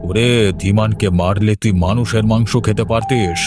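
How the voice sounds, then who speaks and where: A man's voice: fairly low pitch, steady tone, moderate pace, music in the background, natural voice. A man playing a stern, scheming middle-aged father and occultist in a horror cartoon, with a commanding, menacing manner. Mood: menacing, demanding. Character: stern, scheming father who raises ghosts by occult practice. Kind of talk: Bengali horror cartoon voice acting.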